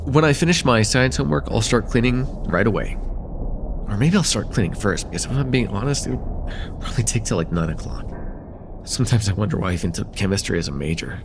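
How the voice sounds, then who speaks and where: A man playing an affectionate, teasing young chemistry student in a casual, intimate one-sided roleplay, talking with hesitant, soft-hearted banter. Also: on the low side, lively intonation, a little fast, noisy background. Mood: playful. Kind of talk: slice-of-life ASMR roleplay, intimate one-sided dialogue.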